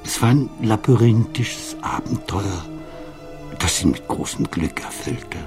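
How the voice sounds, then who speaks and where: A man's voice: lowish voice, lively intonation, unhurried, over music. A man reading a literary story as scripted narration. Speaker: reader of literary prose.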